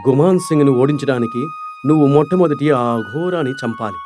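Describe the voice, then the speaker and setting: A man voicing a wise male hermit in an animated fable, giving grave advice in a measured, calm manner. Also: mid-range voice, soft background music, close to an everyday voice.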